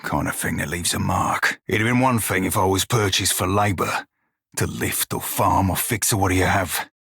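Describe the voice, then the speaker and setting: An actor playing a gruff, wry pirate shiphunter in a video game, with a rough-edged voice and folksy sailor dialect. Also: male voice, low-pitched, steady tone, moderate pace, a bit bright, dry recording, clean studio sound, exaggerated voice. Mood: somber, bitter. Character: salty blue-furred pirate shiphunter, former slave, gruff and wry. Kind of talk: video game character dialogue.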